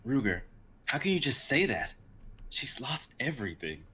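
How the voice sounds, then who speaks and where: Man reading earnest young survivor, bright forceful voice. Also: medium pitch, big pitch swings, moderate pace, slightly rough, pitched above the performer's own voice, full character voice. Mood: pleading, upset. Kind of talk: amateur dramatic table reading.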